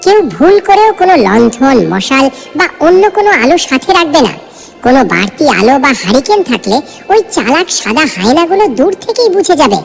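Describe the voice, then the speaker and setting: A woman as a very gravelly village leader. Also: high-pitched, big pitch swings, fairly quick, heavily acted. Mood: commanding. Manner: instructive, emphatic. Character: authoritative village headman laying down orders. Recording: long hall reverb, faint music.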